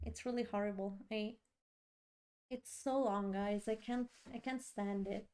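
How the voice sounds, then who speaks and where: A woman's voice: medium pitch, fairly even intonation, a little slow, a little mellow, clear, resonant tone. A woman chatting casually on a game livestream, a bit sleepy, repeating phrases for emphasis. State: a bit sleepy after a long day. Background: background music.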